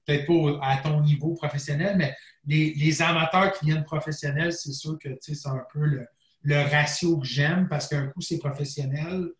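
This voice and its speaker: A man's voice: on the high side, fairly even intonation, moderate pace, echoey room. Rambling man in his forties. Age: 40s. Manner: rambling, reflective, mixes in English phrases. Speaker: guest, bodybuilding coach. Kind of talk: casual podcast conversation.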